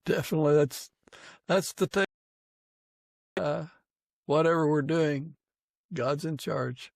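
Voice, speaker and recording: A man hosting a podcast interview in a conversational way, haltingly searching for his words. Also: fairly high pitch, vocal fry, no room sound, studio quality. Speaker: podcast host. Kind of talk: conversational interview with a prayer and sign-off.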